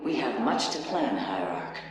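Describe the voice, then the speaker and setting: A woman's voice: very deep voice, big pitch swings, measured pace, full character voice. A woman playing a proud, resolute alien matriarch in a science fiction game, speaking in a solemn, stately manner. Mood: grave, resolute. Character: proud, resolute alien matriarch of exiled dark warrior people. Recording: echoing voice under loud music.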